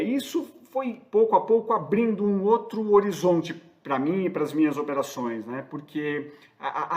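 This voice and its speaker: A man in his fifties from São Paulo gives a reflective testimonial. He pads his speech with small fillers. His voice sits slightly high for a man. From São Paulo, Brazil. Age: 50s. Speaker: student giving testimonial.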